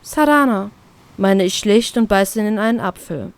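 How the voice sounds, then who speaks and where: A woman reading a first-person fan fiction story as an expressive narrator. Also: mid-range voice, moderate pace, no room sound.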